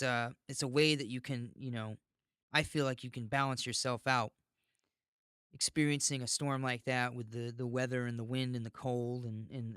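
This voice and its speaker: A man's voice: medium pitch, bright, forceful tone, clear, resonant tone, dry recording, clean studio sound. A man talking casually and bluntly as a podcast host, rambling and swearing freely through a monologue.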